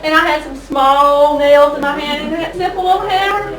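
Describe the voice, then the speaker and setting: A woman acts a griping wife in a comic stage sketch, high-voiced and sarcastic. She sounds exasperated and theatrical. She is distant in an echoey hall with an audience. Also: normal speed, a crowd in the background, natural voice. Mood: wry.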